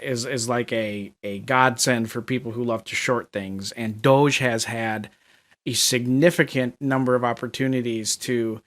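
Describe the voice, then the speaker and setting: A man's voice: medium pitch, steady tone, measured pace, a bit bright. A middle-aged man from Minnesota with a Minnesotan accent, talking through price charts with lots of fillers and restarts. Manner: rambling, many fillers and restarts. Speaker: market analyst. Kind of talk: chart analysis commentary.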